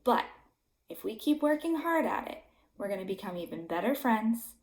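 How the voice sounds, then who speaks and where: A woman's voice: a little high, sing-song, moderate pace, reverberant. A woman reading a storybook aloud to young children like a teacher, with simple, emphatic phrasing.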